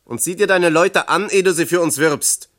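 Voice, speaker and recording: An actor playing an evasive East German works official, measured and condescending, in a German radio play. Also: male voice, fairly high pitch, fairly bright tone, lightly acted. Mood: firm, official.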